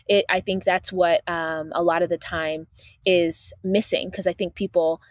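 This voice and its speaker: A woman's voice: lowish voice, steady tone, a little slow, no room sound, clean studio sound. A woman from Uganda, raised in the States, talking reflectively in a casual conversation with playful asides.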